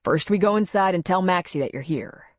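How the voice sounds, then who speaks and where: A woman reading a storybook, voicing a weary man who speaks in a lowered, measured and soothing way. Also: no room sound, studio quality, lightly acted. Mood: gentle. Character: weary man, calm and gentle.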